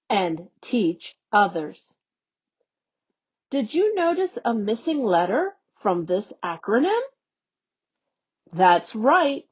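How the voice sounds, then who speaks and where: A woman educator reading a children's newsletter aloud in a slow, deliberate, teacherly way. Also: fairly high pitch, breathy edge. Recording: dull, lo-fi recording.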